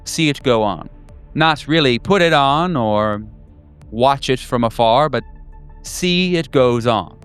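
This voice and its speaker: A man's voice: mid-range voice, expressive, a bit bright, clear, resonant tone. A man voicing a cryptic, philosophical servant of a cosmic king in an improvised tabletop horror game. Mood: ominous, cryptic. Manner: measured, philosophical, enigmatic. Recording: music bed behind the voice.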